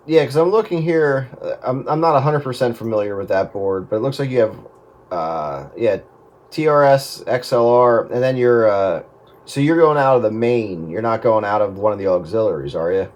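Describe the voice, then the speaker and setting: A man's voice: mid-range voice, moderate pace, a little mellow. A man chatting casually about technical matters on the radio, thinking aloud in a hesitant way. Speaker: amateur radio operator.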